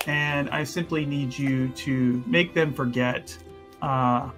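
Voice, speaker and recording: A man playing a smooth-talking schemer in a tabletop game, persuading in a halting, wheedling, euphemistic way. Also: mid-range voice, fairly even intonation, a bit bright, soft background music. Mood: conspiratorial.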